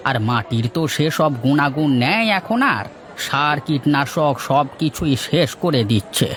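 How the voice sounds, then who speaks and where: Man voicing a grumbling village uncle, slightly high. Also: animated, unhurried, fairly bright tone, slight background noise. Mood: cautioning. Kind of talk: cartoon folk-tale dialogue.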